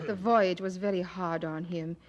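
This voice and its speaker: An actress playing a devoted, bossy preacher's wife of the eighteenth century in an audio drama, with expressive old-fashioned diction. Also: female voice, lowish voice, moderate pace, highs cut off, lightly acted. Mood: protective.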